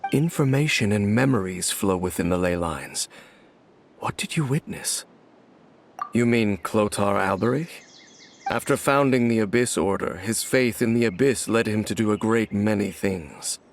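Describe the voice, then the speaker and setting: An actor playing a grave, composed knightly guide in a video game, measured and solemn as he explains. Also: lowish voice. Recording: faint ambient noise behind.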